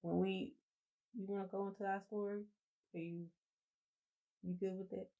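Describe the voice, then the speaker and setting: Soft-spoken woman with gravelly fry. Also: medium pitch, flat delivery, moderate pace, poor audio. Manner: meandering, reflective storytelling with many fillers. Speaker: co-host of a live chat. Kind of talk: casual live-stream chat.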